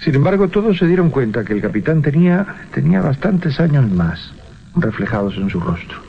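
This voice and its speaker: Un hombre narra un relato de terror radiofónico con suspense y titubeos deliberados. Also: male voice, medium pitch, very animated, gravelly. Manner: suspenseful, with deliberate hesitations and repetitions. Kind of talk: dramatic horror storytelling for radio. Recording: dull 1980s radio recording. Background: music.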